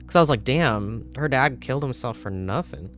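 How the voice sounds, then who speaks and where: A man's voice: medium pitch, animated, moderate pace, fairly bright tone, faint music behind. A man hosting a let's-play with casual, slangy, offhand commentary. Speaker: gaming host.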